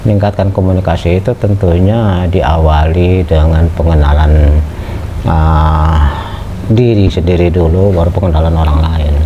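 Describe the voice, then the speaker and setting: A man's voice: deep voice, unhurried. A middle-aged man giving a casual lecture to students, talking conversationally with many hesitant fillers and putting questions to the class. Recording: muffled with steady background noise.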